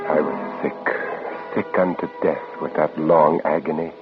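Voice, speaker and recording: An actor playing an anguished, defiant French captain held prisoner, in theatrical old radio drama style. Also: male voice, extremely low pitch, fairly even intonation, measured pace, phone-quality sound, over loud music. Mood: weak, dazed narration. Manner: theatrical, intense. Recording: noisy, band-limited old radio recording.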